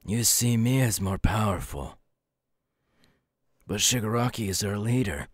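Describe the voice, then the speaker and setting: A man playing a cool, sly, menacing young supervillain in an ASMR audio roleplay, speaking slowly and deliberately. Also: lowish voice, breathy edge, no echo, studio quality. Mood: probing, cool.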